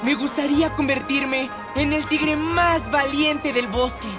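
A woman's voice: high voice, fairly even intonation, a little slow. A woman voicing a timid, wistful little male tiger cub with a hesitant, childlike manner in a children's cartoon. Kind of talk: animated children's cartoon dialogue. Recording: music behind the voice.

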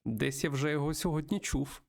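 A man with a creaky voice, hosting a let's-play, reading a visual novel aloud and adding his own commentary. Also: fairly high pitch, a little fast, a little hiss or hum. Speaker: host of a video game let's-play show.

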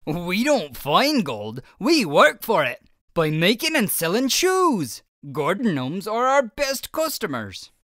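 A woman's voice: mid-range voice, huge pitch swings, slow, fairly bright tone, no echo, clean studio sound, full character voice. A woman voicing a friendly male leprechaun shoemaker with a playful brogue-style way of talking, in a children's storybook read-aloud. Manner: welcoming, explaining patiently.

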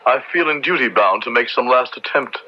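An actor playing a stern, dutiful Puritan judge in a radio drama, with a formal, authoritative, archaic way of speaking. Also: male voice, mid-range voice, lively intonation, brisk, a crowd in the background, lightly acted. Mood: stern, resolute. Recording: dull old radio recording.

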